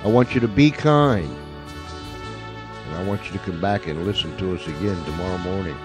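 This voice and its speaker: Folksy low-voiced man hosting radio over music. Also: normal speed. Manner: folksy, repeats phrases for emphasis. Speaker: radio show host. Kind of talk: casual radio sign-off to listeners. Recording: music under the voice.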